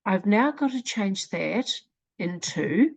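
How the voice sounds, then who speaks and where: Slow, deliberate New Zealand woman teaching. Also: mid-range voice, expressive, gravelly, a little mellow, husky. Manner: slow, deliberate, sounding out letters. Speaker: literacy program presenter. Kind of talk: screen-recorded software walkthrough.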